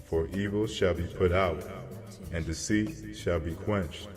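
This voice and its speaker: A man playing a solemn angel messenger who delivers grave prophecy in a measured, declamatory way, as in a dramatized scripture reading. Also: sits low, fairly even intonation. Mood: grave, prophetic. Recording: long cavernous reverb, music behind. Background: music.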